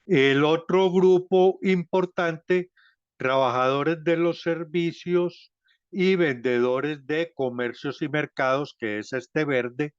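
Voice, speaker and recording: A Colombian-accented man walks through his research at a slow, careful pace, pausing often to hesitate and correct himself. His voice is clear and smooth, and it comes through a slightly dull, dry online call. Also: fairly high pitch, no room sound. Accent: Colombian Spanish. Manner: slow and deliberate, frequent hesitations and self-corrections. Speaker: researcher presenting at a seminar. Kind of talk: academic presentation.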